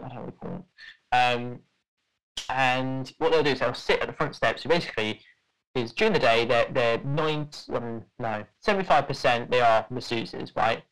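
A man's voice: mid-range voice, a bit bright, rough recording. Young man rambling through a story. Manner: rambling, many fillers and restarts, laughs at own story. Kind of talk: casual podcast storytelling.

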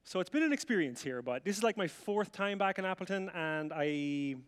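A man's voice: high-pitched, expressive, a little fast, clean tone. A middle-aged Irish man from the rural midlands, telling his life story to an audience with chatty, self-mocking humour and acted-out dialogue. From Ireland. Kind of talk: storytelling talk to a live audience. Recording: reverberant hall sound.

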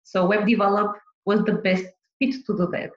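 A woman's voice: medium pitch, fairly even intonation, normal speed, reverberant, dull audio. A woman from Bulgaria, a business owner, answering podcast interview questions carefully, with light laughs.

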